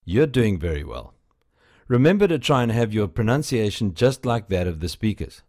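A man's voice: on the low side, sing-song, a little slow, darkish tone. A man narrating a language course, giving patient scripted prompts and encouragement. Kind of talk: scripted instruction.

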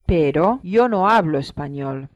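A speaker gives slow, deliberate model phrases for learners, repeating each one. The sound is dry and a little dull, like an old course recording. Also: mid-range voice, expressive, no echo.

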